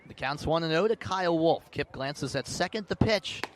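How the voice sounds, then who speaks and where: A man's voice: a little high, lively intonation, normal speed, slight background noise. A young man doing radio baseball play-by-play in a descriptive, dramatic way at an outdoor ballpark. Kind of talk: radio sports play-by-play commentary.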